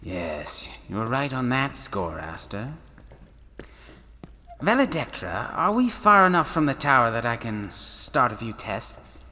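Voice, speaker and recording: Man playing curious professor, bright, theatrically swooping voice. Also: medium pitch, big pitch swings, exaggerated voice. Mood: absorbed, musing. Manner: measured, theatrical, musing delivery. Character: eccentric, curious male professor and space traveller. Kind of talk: sci-fi audio drama acting. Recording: long reverb, faint background noise.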